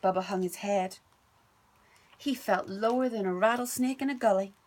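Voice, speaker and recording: A woman's voice: mid-range voice, normal speed, darkish tone. A woman reading a picture book aloud to her little son at home, playful and sing-song. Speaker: parent reading to a child.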